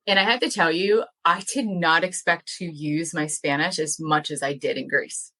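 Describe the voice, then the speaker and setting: A woman's voice: deep voice, fairly even intonation, moderate pace, bright, forceful tone. A young woman in a casual podcast interview, talking about her farm and language work in an enthusiastic, chatty way with many fillers. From United States. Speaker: guest, language educator and dairy farmer.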